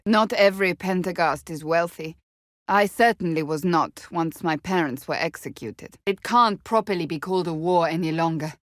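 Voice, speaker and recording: A woman's voice: fairly low pitch, no echo, studio quality, close to an everyday voice. An actress playing a stern, blunt, devout warrior woman in a fantasy video game, speaking in a formal, clipped, earnest way.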